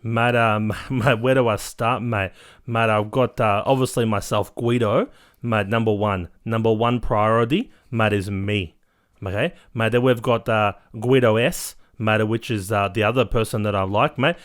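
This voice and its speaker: A man's voice: fairly low pitch, fairly even intonation, moderate pace, close to an everyday voice. A man voicing a cocky, boastful male ladies' man who cuts in and says "mate" nearly every line. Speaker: comedic character guest.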